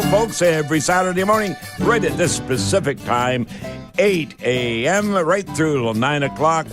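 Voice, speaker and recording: Folksy man hosting radio. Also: on the high side. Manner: folksy, repeats catchphrases and numbers. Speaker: radio show host. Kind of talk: live radio call-in show hosting.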